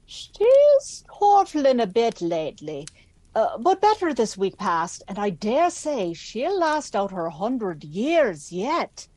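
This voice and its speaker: An actress playing a kindly, gossipy servant of a great house in an audio drama, talking in a folksy, confiding way. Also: female voice, a little high, huge pitch swings, takes it slowly, darkish tone, dry recording, exaggerated voice.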